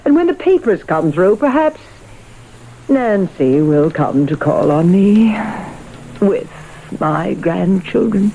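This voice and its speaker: An older widowed mother from a vintage radio play, proud and wistful. Her mellow voice moves freely up and down with theatrical, genteel dryness. The old broadcast carries faint noise. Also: medium pitch, animated, takes it slowly, dull audio. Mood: resigned, dry. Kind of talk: radio drama acting.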